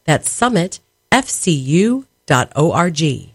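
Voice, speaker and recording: A woman's voice: low voice, sing-song, slow pace, noisy background. A woman reading a scripted, polished sponsor message for a radio station. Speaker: underwriting announcer.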